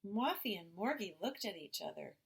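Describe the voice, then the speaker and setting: A woman teacher reading a picture book aloud to young children in an expressive storytelling style. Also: medium pitch, creaky, slightly rough. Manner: slow, expressive, addressing children directly.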